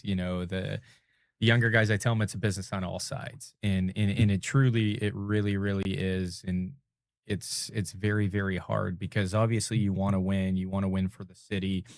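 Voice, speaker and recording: Brief: forty-year-old man, very low flat voice with gravel, earnest interview guest, dry close mic. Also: no echo. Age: 40s. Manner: earnest, repeats words as he builds a point. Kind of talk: casual interview talk.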